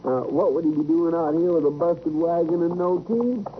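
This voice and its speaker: Guy doing earnest folksy cowboy sidekick, country american drawl, highish voice, tinny old radio recording. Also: normal speed, slight background noise, lightly acted. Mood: concerned, puzzled. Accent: rural American. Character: folksy, deferential marshal's sidekick in the Old West. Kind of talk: 1950s radio western acting.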